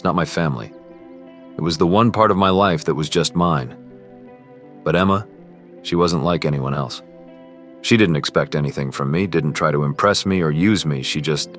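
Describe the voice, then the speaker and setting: Guy acting rich high school boy, really low voice, earnest, teen romance drama, bit of music under it. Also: moderate pace, pauses often, a bit bright. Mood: reflective. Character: earnest, privileged teenage boy, secretly burdened. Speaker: character and first-person narrator. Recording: music behind the voice.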